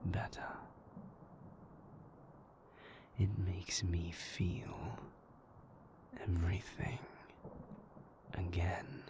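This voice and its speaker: Man voicing a deep, whispering, moody vampire. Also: exaggerated voice. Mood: dry, guarded. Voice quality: breathy, hushed, gravelly low voice. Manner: slow, deliberate, formal wording, dryly wry. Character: moody, brooding male vampire, weary and sardonic. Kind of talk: intimate whispered audio roleplay.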